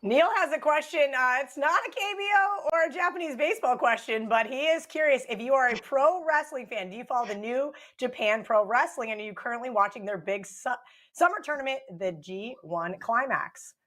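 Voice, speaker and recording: High-voiced woman hosting a betting show. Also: brisk, a bit bright. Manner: upbeat, reads viewer questions. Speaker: show host.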